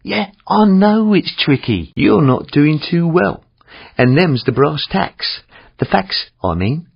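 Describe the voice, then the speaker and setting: A gravelly old Cockney cab driver, wildly swooping in pitch as he coaches with cheeky slang. He is blunt and theatrical, a game instructor on slightly dull audio. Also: big pitch swings, slow pace, full character voice. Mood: scornful, disappointed. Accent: London Cockney. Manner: colloquial slang, wry, theatrical. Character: chatty old London cabbie driving instructor, cheeky and blunt. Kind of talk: scripted game instruction and feedback.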